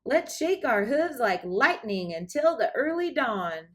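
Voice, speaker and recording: A woman as a hearty, high-pitched hollering cowboy. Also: sing-song, measured pace, fairly bright tone, heavily acted. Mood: hearty, excited. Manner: hollering square-dance calls. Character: gallant young cowboy suitor, hearty and eager. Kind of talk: children's storybook character voice.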